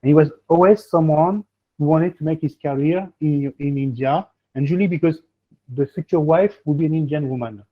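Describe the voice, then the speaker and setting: A man's voice: on the high side, brisk. A man with a French accent coaching applicants in a webinar, rambling and checking in with "okay?" as he goes. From France. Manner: rambling, peppers speech with "okay?" and "huh?". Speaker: admissions coach hosting a webinar. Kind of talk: advice in an online webinar. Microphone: video call. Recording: tinny phone-like video call audio.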